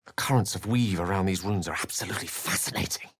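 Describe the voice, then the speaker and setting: An actor playing an erudite, courteous, wry wizard in a video game, speaking articulately with a theatrical, literary turn of phrase. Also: male voice, sits low, fast, husky, dry recording, clean studio sound. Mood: earnest. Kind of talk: video game voice acting, dialogue and narration.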